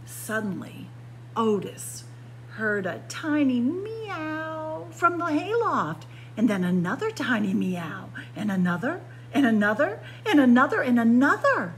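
A woman's voice: a little high, very animated, measured pace, a little hiss or hum. A woman reading a children's picture book aloud like a story-time librarian, expressively, with dramatic emphasis on key words.

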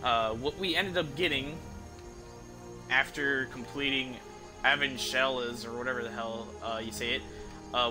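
A young man giving casual gameplay commentary in a rambling, halting way, streaming from an indoor room. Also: medium pitch, low-quality recording. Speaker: game streamer. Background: game music.

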